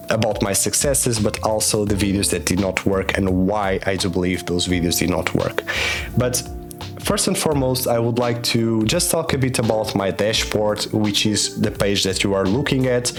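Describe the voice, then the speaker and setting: A young man whose first language is Portuguese, talking to camera in a careful, earnest way with frequent restarts. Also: lowish voice. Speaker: YouTube creator. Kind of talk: casual explanatory talk to camera.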